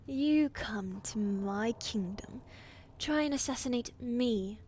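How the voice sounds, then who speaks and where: A woman playing a fierce, commanding queen in a medieval fantasy audio roleplay, imperious and threatening, with a softer side for her husband. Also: fairly high pitch, takes it slowly, a little hiss or hum. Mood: menacing.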